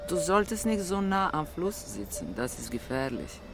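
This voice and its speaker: An actor in a radio drama playing an eerie, brooding riverside stranger who speaks tersely and cryptically. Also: male voice, a little high, sing-song, normal speed, over music. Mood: detached concern. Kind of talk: dramatic German radio play acting.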